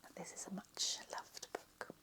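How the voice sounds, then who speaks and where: A woman whispering a slow, soft ASMR lecture roleplay, her voice slightly croaky.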